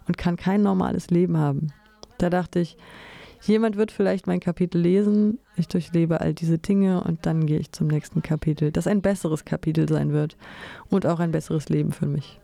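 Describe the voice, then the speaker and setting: Creaky, mellow woman reading a voice-over. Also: on the low side, dry recording. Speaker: voice-over translator. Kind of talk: scripted voice-over translation in first person.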